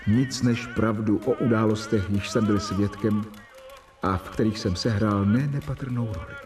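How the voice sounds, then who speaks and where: An actor playing an earnest, devoted doctor, speaking solemnly and rhetorically in a radio drama. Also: male voice, on the low side, over music, natural voice. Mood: solemn confession. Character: earnest, devoted doctor, awed assistant confiding his diary.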